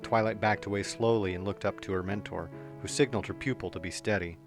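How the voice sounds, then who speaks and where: A man narrates a story calmly and steadily in a low voice. Guitar music plays clearly behind him. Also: fast talker.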